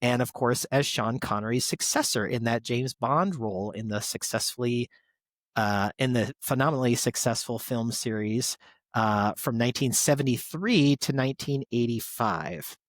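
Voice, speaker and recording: A man's voice: mid-range voice, normal speed, no echo, studio quality. A man hosting a podcast, reading out tributes in a conversational, stop-and-start way with casual asides. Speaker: podcast host.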